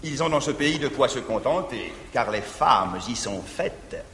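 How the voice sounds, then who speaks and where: A man's voice: medium pitch, animated, a little slow, a bit bright. An actor playing a scheming middle-aged bourgeois man in a 1950s verse stage comedy, jovial and mocking, laughing often. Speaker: Arnolphe. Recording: old theater recording, echoey hall, faint hiss.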